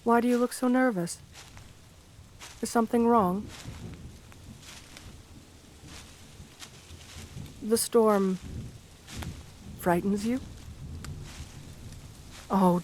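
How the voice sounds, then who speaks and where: A shy gargoyle woman, played by a female performer for a fantasy ASMR roleplay. She speaks at a medium pitch in formal, bookish phrases, gentle and polite. Her bashful hesitations give away a lonely, romantic temper. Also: takes it slowly, pauses often, a little hiss or hum, close to an everyday voice. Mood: reassuring. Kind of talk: ASMR fantasy roleplay, intimate one-sided dialogue.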